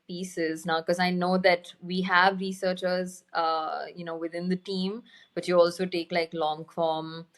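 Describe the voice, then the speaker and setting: A woman hosting a podcast interview, asking informal, loosely phrased questions in a casual, chatty style. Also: on the low side.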